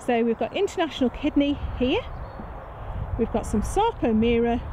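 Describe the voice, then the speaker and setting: A woman's voice: a little high, lively intonation, normal speed. A British woman giving a casual, rambling garden tour in a chatty, self-deprecating way, recorded on a handheld camera. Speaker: gardening vlogger. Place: allotment garden and greenhouse. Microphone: handheld camera's built-in microphone. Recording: noisy outdoor handheld camera audio.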